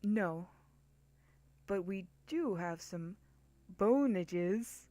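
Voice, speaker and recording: A woman puts on an easygoing male skeleton for a dramatic story reading. He talks in casual slang, joking yet protective like a big brother. The pitch sits in a middle female range, recorded dry and close. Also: lively intonation, very slow, dark tone, no echo. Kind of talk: dramatic fan-fiction reading.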